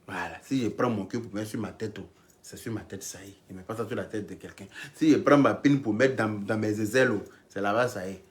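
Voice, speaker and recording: A man's voice: fairly low pitch, quick pace. A man hosting a livestream and taking calls, talking bluntly and crudely in a dismissive manner. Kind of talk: casual live-stream talk.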